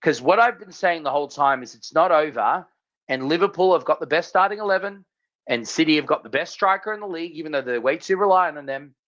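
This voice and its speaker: A man's voice: on the high side, lively intonation, fast talker, fairly bright tone. Australian man, emphatic podcast co-host. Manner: emphatic, repeats phrases. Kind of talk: casual sports podcast chat. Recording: slightly dull lo-fi audio.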